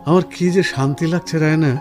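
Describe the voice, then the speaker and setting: A man's voice: on the high side, fairly even intonation, a little fast, darkish tone, faint music behind, lightly acted. A man acting a caring, reassuring husband with a professional job in a Bengali radio drama. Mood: tender, relieved.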